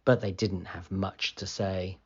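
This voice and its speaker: A woman reading a picture book aloud for children in an animated, deliberate storytelling manner. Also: very deep voice, husky, dull audio.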